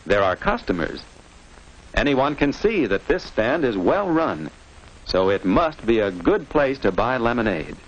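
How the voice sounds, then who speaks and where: A man reading scripted narration for a children's instructional film in a didactic way, posing questions and answering them. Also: mid-range voice. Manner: didactic, asking and answering his own questions. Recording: noisy old educational film soundtrack.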